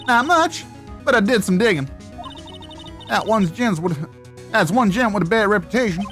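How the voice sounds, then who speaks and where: A feisty country woman from a video game, acted out by a woman, gossiping in brash rural slang. Her pitch swings around in a lively way, with faint music playing behind her. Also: normal speed, natural voice. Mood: brash, gossipy.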